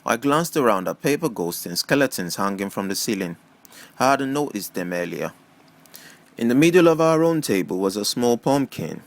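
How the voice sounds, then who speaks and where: A man reading a first-person novel aloud as scripted audiobook narration. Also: lowish voice. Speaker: audiobook reader.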